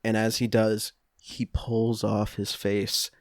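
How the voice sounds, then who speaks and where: A young adult podcast co-host chatting casually and improvising with friends, informal and quick to swear. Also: medium pitch, little pitch movement, dry recording, clean studio sound. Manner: profane, informal.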